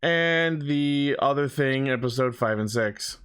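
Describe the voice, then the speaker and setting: A man's voice: mid-range voice, measured pace, fairly bright tone, clear, resonant tone. A man hosting a podcast in a casual, conversational fan-chat style. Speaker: podcast host.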